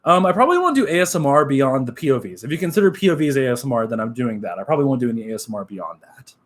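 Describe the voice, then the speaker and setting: A young man with a nasal voice, chatting casually on a live stream, upbeat and relaxed, full of fillers. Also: medium pitch, rapid-fire. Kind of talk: casual live-stream chat reading comments.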